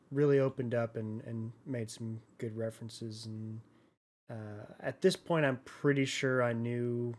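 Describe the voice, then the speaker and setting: Mid-pitched man, casual podcast co-host. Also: a little mellow. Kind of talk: casual movie discussion.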